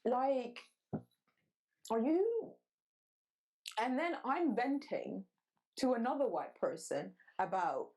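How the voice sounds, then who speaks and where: A woman's voice: on the high side, dark tone, low-quality recording. A woman who grew up between the Netherlands, Sudan and Wales, a guest in a casual podcast chat, talking animatedly and emphatically, swearing freely and cutting in mid-sentence over a video call.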